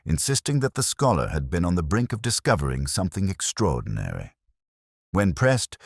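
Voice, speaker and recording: Brooding detective narrator played by a man: very deep, expressive intonation, measured and reflective, close dry sound, audio mystery drama. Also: slightly rough, no echo, exaggerated voice. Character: brooding, observant male police detective narrating his case. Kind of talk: scripted first-person mystery narration.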